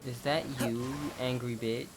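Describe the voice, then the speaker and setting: A woman's voice: rumbling low, flat delivery, normal speed, poor audio. A woman giving casual commentary as she plays through a video game. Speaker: gaming video creator.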